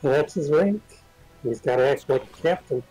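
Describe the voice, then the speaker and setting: Husky, mellow man chatting casually. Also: on the high side, animated, normal speed, faint music behind, poor audio. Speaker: stream companion advising the player. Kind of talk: casual chat.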